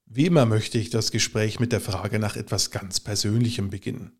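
A man hosting a podcast, reading a formal, measured introduction and then asking his guest questions. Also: on the low side, fairly even intonation, breathy edge. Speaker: podcast host. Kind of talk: scripted introduction and interviewing.

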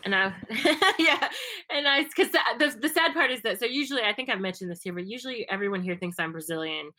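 A woman's voice: fairly high pitch, lively intonation, brisk, pushed and bright, slight background noise. A young woman from the United States talking casually and reflectively, with many fillers and restarts. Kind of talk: casual conversation.

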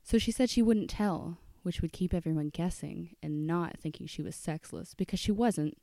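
A woman's voice: lowish voice, moderate pace, gravelly, warm tone, dry recording. A woman narrating a scripted work of fiction as an audiobook reader.

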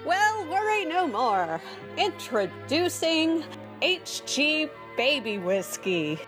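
A woman playing a cheery, coaxing female announcer in a parody of a 1930s radio commercial, with music behind her. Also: a little high. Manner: coaxing, deliberate salesmanship. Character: sugary, cheery female radio advertisement pitchwoman.

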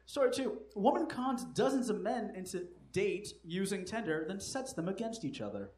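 High, lively man hosting live comedy. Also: normal speed. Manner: profane, joking, laughing often. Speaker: comedy show host. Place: live comedy venue with audience. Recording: echoey live room, faint noise.

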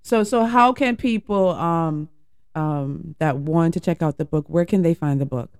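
A man's voice: fairly high pitch, measured pace, clear, resonant tone, no room sound, clean studio sound. A man hosting an interview, asking polite questions and giving short affirmations. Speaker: host.

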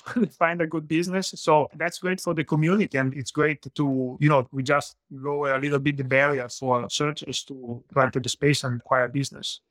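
A man's voice: on the high side, fairly bright tone, no echo. A man in his forties from Italy with an Italian accent, a guest telling his story in a loose, conversational way. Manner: loose, sprinkled with "like", non-native grammar. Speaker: guest, search fund entrepreneur. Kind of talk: conversational interview.

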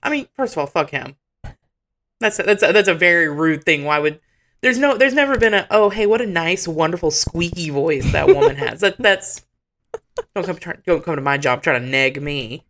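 Sarcastic, animated comedian co-host. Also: female voice, lowish voice, moderate pace. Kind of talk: casual podcast banter.

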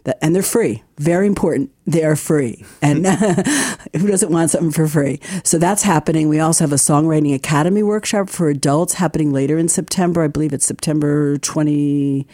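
Mellow-voiced woman hosting local radio. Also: sits low, a little fast, no room sound, clean studio sound. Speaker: radio host, library community partnership director. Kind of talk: conversational radio program hosting.

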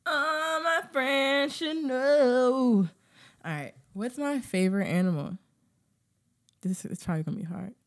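A young woman co-hosting a podcast, with a deep voice and a playful, giggly way of quizzing. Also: a little slow. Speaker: podcast co-host. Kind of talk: casual chat.

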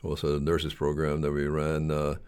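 An older man speaking as an interview guest in a halting, rambling, conversational way, often repeating his phrases. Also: extremely low pitch, completely flat delivery, darkish tone, smooth.

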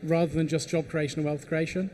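A man's voice: a little high, little pitch movement, quick pace, mellow, a little hiss or hum. A man speaking as a panelist in a panel discussion, stammering on restarts as he builds his point.

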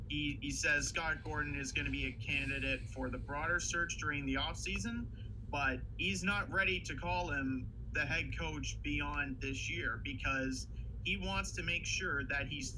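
Male co-host, higher voice, talky and explanatory, noisy recording. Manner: explains points at length, fillers like "like". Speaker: podcast co-host. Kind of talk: casual sports podcast chat. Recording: audible background noise.

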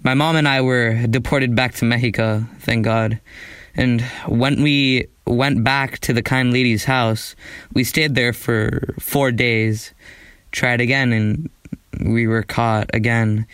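Slow, wry young male narrator. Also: mid-range voice, fairly even intonation, a bit bright, clean tone, no echo. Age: young adult. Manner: wry, reflective storytelling. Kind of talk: scripted narration of a memoir drama.

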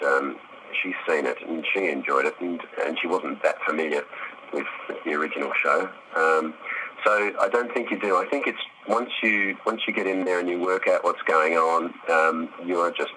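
A man's voice: sits low, sharp tone, a little hiss or hum, poor audio. A middle-aged man answering interview questions casually, thoughtful and hesitant, with some self-deprecation. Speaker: actor, interview guest.

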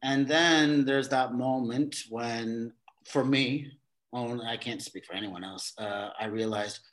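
A man being interviewed about his theater career, talking in a halting way with many restarts and repeated words. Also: medium pitch, a bit bright. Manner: acts out quoted exchanges in a livelier voice. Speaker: theater director, podcast guest. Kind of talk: casual interview conversation.

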